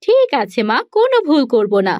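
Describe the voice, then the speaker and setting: A woman's voice: high-pitched, huge pitch swings, brisk, no echo, dull audio. A woman voicing a meek, foolish young village daughter-in-law in a cartoon. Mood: obedient. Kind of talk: cartoon character acting.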